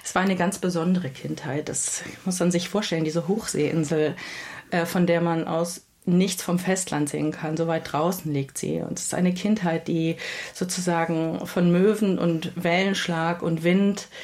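A woman author answering interview questions at a measured pace, often pausing to search for words. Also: on the low side, fairly even intonation, a little mellow. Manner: measured, with frequent hesitations. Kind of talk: thoughtful radio interview answers.

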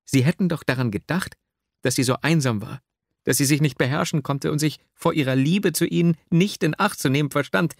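A man's voice: a little high, brisk, creaky, no echo, clean studio sound, pitched above the performer's own voice. A man voicing a distraught, jilted young woman in an audiobook, emotional and pleading, given to exclamations. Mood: tearful pleading. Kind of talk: dramatic literary audiobook reading.

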